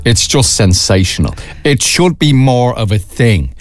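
An Irish man in middle age hosts morning radio from a studio, bantering about everyday life. His voice rises and falls in an animated way, and he plays up his stories with jokey exaggeration. Light music plays faintly underneath. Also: mid-range voice, a little slow, no room sound. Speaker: radio show host. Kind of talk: casual breakfast radio chat.

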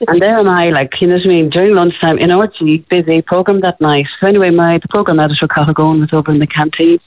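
A woman's voice: on the low side, quick pace, no room sound. Irish woman telling a story over a tinny phone line. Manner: breathless, excitable, addresses host by name. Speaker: guest, former television presenter. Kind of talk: animated storytelling in a radio interview. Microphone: telephone.

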